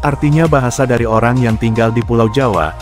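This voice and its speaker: A man giving a calm documentary voice-over. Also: medium pitch, fast. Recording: clear music behind.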